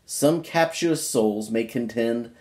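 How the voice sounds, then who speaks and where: A man with a folksy, informal manner, chatting casually and reading aloud from a book in an unhurried way. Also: mid-range voice, darkish tone. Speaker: pipe-smoking video creator reading a book aloud.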